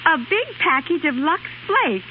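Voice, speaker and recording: A woman's voice: high-pitched, sing-song, unhurried. A woman acting an eager, greedy, gushing college girl in a 1930s radio commercial. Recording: tinny, hissy 1930s radio recording.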